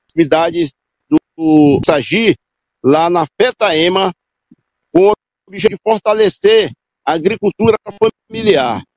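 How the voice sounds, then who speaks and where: Brief: male field reporter, slightly high voice, dry close sound, improvised live delivery. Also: dry recording, low-quality recording. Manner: loose, improvised delivery with restarts and self-corrections.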